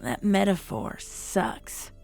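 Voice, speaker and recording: Woman playing a creaky-voiced, sardonic dead pony. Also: medium pitch, animated, slow, a little mellow, soft background music, full character voice. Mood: grumbling. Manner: sarcastic, blunt. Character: sardonic young dead female pony, blunt, fed up. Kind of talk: fan audio drama dialogue.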